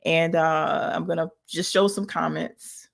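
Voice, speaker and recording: A woman host with a fairly low voice talks in a friendly, polite way. She reads out viewer comments to her guest and laughs easily. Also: fairly even intonation, measured pace, vocal fry. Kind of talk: live show chat, reading comments.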